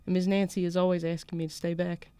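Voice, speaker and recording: A woman's voice: lowish voice, flat delivery, fast, mellow, clear, resonant tone, no echo, close to an everyday voice. A woman voicing a defiant small-town schoolgirl in a put-on Southern US accent, narrating a first-person story. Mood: wry, defiant. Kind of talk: first-person literary fiction read aloud.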